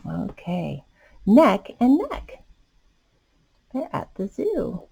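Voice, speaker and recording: A woman's voice: medium pitch, drawn-out words, vocal fry, slightly rough. A woman hosting children's storytime, reading a picture book expressively and adding asides to young listeners. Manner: animated, addressing young listeners.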